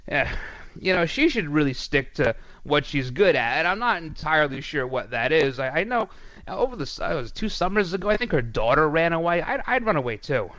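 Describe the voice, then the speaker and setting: Male talk radio host, high voice, dry studio sound, sarcastic and animated. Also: a little fast, dry recording, dull audio. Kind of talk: political commentary monologue.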